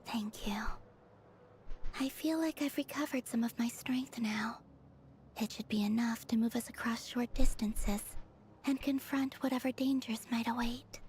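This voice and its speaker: A woman voicing a soft, gentle moonlit game maiden. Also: fairly high pitch, fairly even intonation, unhurried, slight background noise, natural voice. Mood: gentle, polite. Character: gentle, courteous young woman tied to moonlight.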